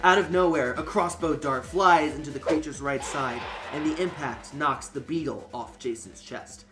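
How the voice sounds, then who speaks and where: Higher-pitched man narrating a story. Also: normal speed, music in the background.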